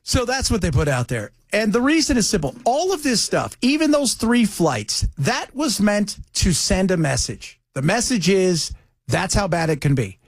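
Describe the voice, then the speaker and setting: Man, American accent, high voice, very animated, dry studio sound, opinionated talk radio host, conversational. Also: moderate pace, dry recording. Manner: conversational, rhetorical questions, mocking asides. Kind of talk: opinionated political radio commentary.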